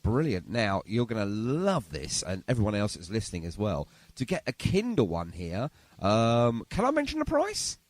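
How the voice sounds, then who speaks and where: A man's voice: on the low side, huge pitch swings, normal speed, few pauses. A British man hosting a podcast in a chatty, informal interview style. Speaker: podcast host. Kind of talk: casual interview chat.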